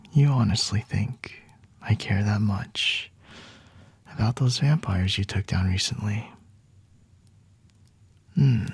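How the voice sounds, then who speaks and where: A man acts out a smug, flirty vampire in an ASMR roleplay, whispering low and very husky, right up close and dry. He takes his time, teasing and talking down to his captive in an unhurried, condescending way. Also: a little mellow, no room sound, full character voice. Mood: coolly amused. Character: flirty, smug male vampire toying with a captured hunter.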